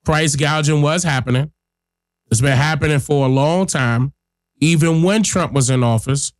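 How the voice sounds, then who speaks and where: A man with a fairly high voice and a stuffy, congested nose talks bluntly and emphatically to his audience as a podcast host. His speech is close and dry, with no room sound around it. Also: moderate pace, no echo. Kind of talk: casual political commentary.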